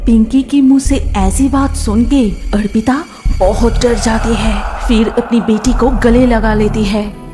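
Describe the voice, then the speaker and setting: Female storyteller, high voice, scripted children's tale narration, music behind. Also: steady tone, slow.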